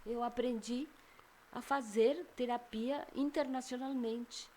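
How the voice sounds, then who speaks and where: A woman's voice: a little high, fairly even intonation, slow pace, mellow, a little hiss or hum. An older woman from Argentina with an Argentine Spanish accent, a psychologist chatting as an interview guest, talking thoughtfully and restarting phrases as she searches for words. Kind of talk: casual interview chat.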